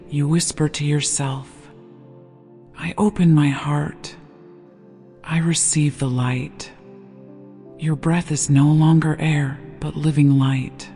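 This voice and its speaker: A gentle, hushed voice guiding a sleep meditation with slow, deliberate scripted narration. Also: medium pitch. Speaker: meditation guide. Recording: long reverb over background music. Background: meditation music.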